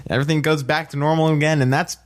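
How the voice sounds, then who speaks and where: A man co-hosting a podcast, giving a casual recap in a halting way and correcting his own wording. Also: a little high, fairly even intonation. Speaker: podcast co-host.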